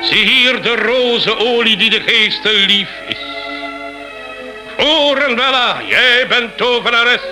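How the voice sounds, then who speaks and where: Fervent sorceress, very slow solemn incantation, high voice, music behind, radio play. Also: female voice. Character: fervent sorceress chanting incantations over portraits. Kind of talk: radio drama acting.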